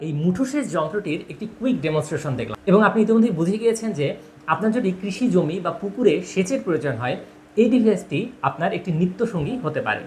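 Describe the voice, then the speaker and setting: A man's voice: high voice, expressive, quick pace, darkish tone. A man narrating a product demonstration, explaining step by step and addressing viewers directly. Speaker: product presenter. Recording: clear background noise, ambient sound.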